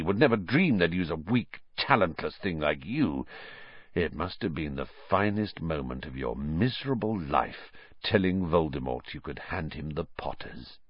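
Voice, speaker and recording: A man's voice: low voice, slightly rough, dry recording, lo-fi sound, pitched below the performer's own voice, heavily acted. A man reading an audiobook story, voicing a bitter, vengeful male fugitive with a growling, hissing, contemptuous delivery. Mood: venomous. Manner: slow, taunting, contemptuous.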